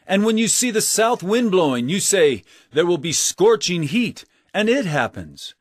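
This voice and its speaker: A man's voice: high voice, sing-song, unhurried, no room sound, clean studio sound, full character voice. An actor playing an earnest, commanding male religious teacher in a scripture audio drama, preaching with solemn emphasis. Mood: exhorting, rebuking.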